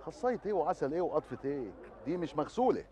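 A man's voice: a little high, very animated, very fast, mellow. An actor with an Egyptian Arabic accent playing a joking police officer who teases a village girl. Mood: teasing. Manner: teasing, chuckling.

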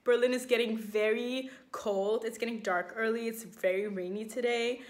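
A woman's voice: a little high, fairly even intonation, moderate pace. A young woman presenting a casual vlog indoors, holding a phone at arm's length. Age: young adult. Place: indoors, living room. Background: faint guitar music.

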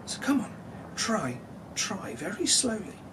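A man voicing a gentle storybook mother bus, coaxing and expressive as she tells a bedtime tale. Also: sits high, slow pace, noisy background, pitched above the performer's own voice, heavily acted. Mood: gentle, encouraging.

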